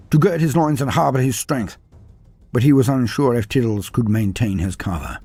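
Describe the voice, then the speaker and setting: A man's voice: on the low side, a little mellow, husky, dry recording. A man reading a fantasy story aloud with a dramatic delivery, his voice rising and falling through the telling. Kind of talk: scripted fantasy story narration.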